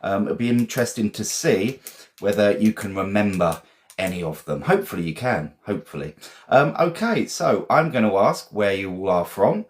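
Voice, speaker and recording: A man with an English accent and a slightly low voice leads a live vocabulary class. He talks casually and informally, like a teacher chatting with learners. His manner is encouraging, and he often says a phrase twice. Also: expressive, moderate pace. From England.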